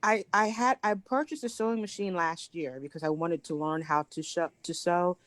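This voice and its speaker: A woman's voice: lowish voice, normal speed, no room sound. A woman from New Jersey, a sewing student chatting casually on a group call. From New Jersey, United States. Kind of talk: casual chat.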